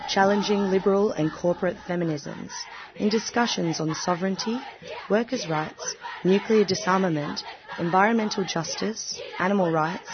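A woman reading a scripted radio promo in a measured way. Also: mid-range voice, background noise. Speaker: station promo voice. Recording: dull lo-fi audio with loud music.

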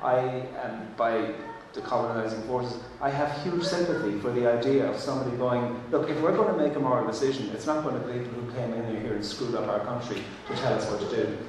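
A man's voice: medium pitch, brisk, hardly any pauses, recorded from a distance, background noise. Irish man in an echoey hall. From Ireland. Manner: earnest, thoughtful, with frequent restarts. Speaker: panel speaker. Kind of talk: panel discussion.

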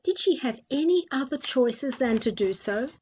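A woman radio presenter with a high-pitched voice that is noticeably husky. She narrates and introduces in a scripted style, with a slightly muffled sound. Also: expressive, moderate pace, darkish tone. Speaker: radio host and story narrator.

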